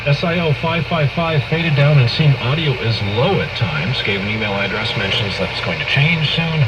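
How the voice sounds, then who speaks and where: Middle-aged american guy djing on shortwave, tons of static, mid pitch voice, easygoing rambly chat. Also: lively intonation, moderate pace. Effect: shortwave radio. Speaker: pirate radio DJ and host. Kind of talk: casual late-night radio host chat. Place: shortwave broadcast played on an old radio console. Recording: very noisy shortwave broadcast through antique radio. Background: heavy static and radio hiss.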